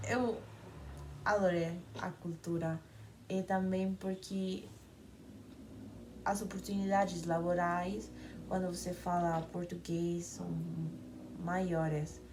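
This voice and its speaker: A young woman speaks slowly and hesitantly in Portuguese, often restarting words. Her voice is mellow and soft. A faint background hiss sits under it. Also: medium pitch. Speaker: university student. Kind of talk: class video talk.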